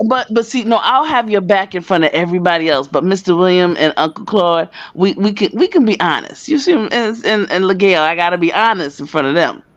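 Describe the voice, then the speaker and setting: A guest on a live stream who is animated, joking and laughs a lot. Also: mid-range voice, brisk, few pauses, no room sound, muffled recording. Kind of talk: casual chat.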